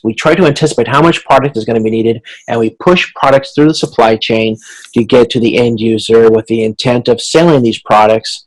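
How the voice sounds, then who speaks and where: A man teaching as a college instructor in an informal recorded lecture, explaining in a halting way and correcting himself as he goes. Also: medium pitch, rough recording.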